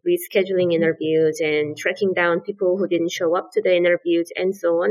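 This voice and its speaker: Earnest, low-pitched woman founder explaining. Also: rough recording. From South Korea. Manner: earnest and explanatory, with repeated "absolutely" and "so". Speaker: startup founder and guest. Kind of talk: podcast interview answers.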